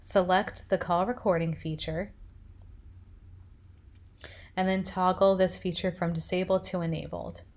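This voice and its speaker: Lower-pitched woman narrating a software tutorial. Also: steady tone, a little slow, long pauses. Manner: step-by-step instructing. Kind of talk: instructional screencast narration.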